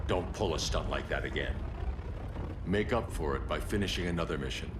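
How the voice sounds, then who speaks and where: A man's voice: rumbling low, brisk, roomy sound, background noise. An actor playing a grim, commanding male vigilante leader in a video game cutscene, speaking in terse, stern, authoritative lines.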